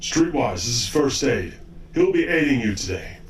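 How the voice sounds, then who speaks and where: A man playing a brisk, formal, authoritative robot senior doctor in an audio drama. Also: mid-range voice, very animated, a little hiss or hum, lightly acted. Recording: roomy, distant from the mic.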